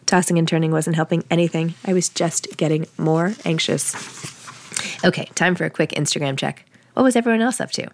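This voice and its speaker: A woman's voice: low voice, dry recording. A woman reading first-person fiction aloud in a wry, conversational way, like an audiobook. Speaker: author reading own novel.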